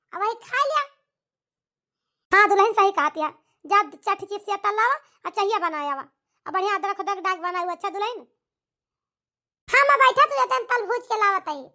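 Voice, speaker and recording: A very high, bright voice acting a nagging, emphatic village woman with an Awadhi accent in a cartoon comedy. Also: full character voice. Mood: familiar. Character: scolding village Indian women of a cartoon family.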